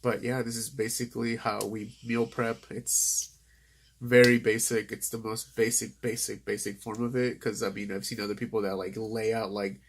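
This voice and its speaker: A man's voice: fairly low pitch, little pitch movement, normal speed, slight background noise. A young man with a Southern California accent, nasal from a cold, doing a casual voice-over in a rambling way that corrects itself often. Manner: rambling, self-correcting, many fillers. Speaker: vlogger.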